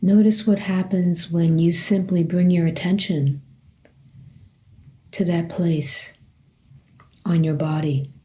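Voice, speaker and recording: A woman meditation teacher leading a guided body scan in a gentle, unhurried way, leaving long pauses between short phrases. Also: on the low side, fairly even intonation.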